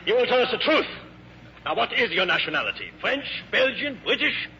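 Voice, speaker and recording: An actor playing a stern, pompous German navy captain with a German accent, formal and commanding, in an old radio drama. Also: male voice, very high voice, very animated, fairly quick, sharp tone, exaggerated voice. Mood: stern interrogation. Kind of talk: 1950s radio drama acting. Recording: dull, hissy old radio recording.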